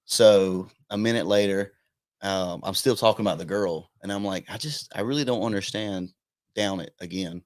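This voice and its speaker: Young male comic guest, twenties, lowish voice, laughs at his own stories. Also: moderate pace, no room sound, studio quality. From South Carolina. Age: 20s. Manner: self-deprecating, laughing storyteller. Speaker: guest comedian. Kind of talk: casual podcast chat.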